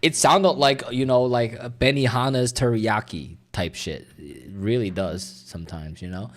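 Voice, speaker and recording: A man's voice: medium pitch, unhurried. A young man whose first language is Mandarin, chatting loosely and profanely while reacting on a stream. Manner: rambling, profane, filler-heavy. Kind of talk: casual reaction stream chat.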